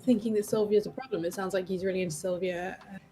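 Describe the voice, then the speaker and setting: A woman's voice: medium pitch, fairly even intonation, fast talker, warm tone, faint music behind. A woman giving blunt, opinionated, casual commentary on a game as a co-commentator.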